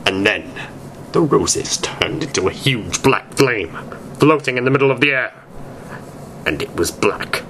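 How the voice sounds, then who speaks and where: A man's voice: medium pitch, lively intonation, a little slow, pauses often, a bit bright. A man reading fan fiction aloud in a theatrical amateur style, stumbling over words now and then. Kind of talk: dramatic amateur story reading. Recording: noisy amateur recording.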